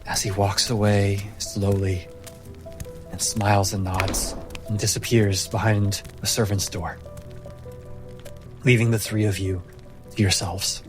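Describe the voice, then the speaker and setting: A man narrating a fantasy tabletop game as game master, in measured phrases with dramatic pauses. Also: fairly low pitch, flat delivery, music in the background.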